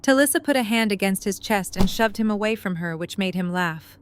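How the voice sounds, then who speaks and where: A woman narrates an audio drama story in a mid-pitched voice. Her scripted reading sits over faint noise and ambience. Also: animated, fairly quick.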